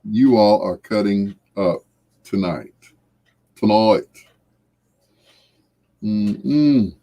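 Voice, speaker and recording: A man's voice: on the low side, extremely slow, mellow, rough recording. A middle-aged or older American man chatting casually and jovially with viewers on a livestream, with a folksy way of drawing out and repeating phrases. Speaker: livestream host.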